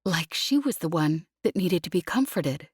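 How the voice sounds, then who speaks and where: A woman narrating a scripted novel as an audiobook, in a measured storytelling manner. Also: lowish voice, lively intonation, breathy edge, no echo, studio quality.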